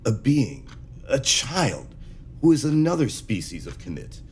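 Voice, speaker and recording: An actor playing an anxious, secretive alien dignitary, speaking hesitantly and earnestly in a science fiction audio drama. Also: male voice, medium pitch, wildly swooping pitch, a little slow, creaky, darkish tone, exaggerated voice. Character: anxious, secretive alien dignitary pleading for his endangered people.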